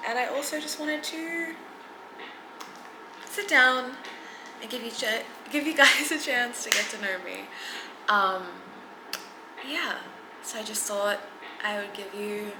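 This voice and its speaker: An Australian woman in her thirties chatting casually to camera in a get-to-know-me vlog, hesitant with many ums and soft giggles, sometimes dropping near a whisper. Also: fairly high pitch, roomy sound, noisy background. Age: 30s. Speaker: content creator. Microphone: camera mic.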